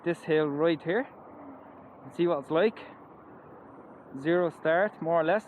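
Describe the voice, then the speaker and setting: A man's voice: a little high, measured pace, a little mellow, rough recording. A man with an Irish accent chatting enthusiastically while riding an electric scooter outdoors, wearing a helmet and mask. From Ireland. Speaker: scooter reviewer. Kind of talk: casual ride-along vlog commentary. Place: outdoors on a moving scooter by roads. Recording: outdoor road noise. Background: traffic and wind.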